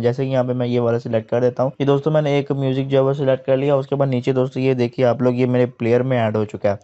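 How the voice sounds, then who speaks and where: A man's voice: mid-range voice, warm tone. A man with a Pakistani accent narrating a step-by-step app tutorial as host, addressing listeners as friends in repetitive instructional phrasing, on a lo-fi recording.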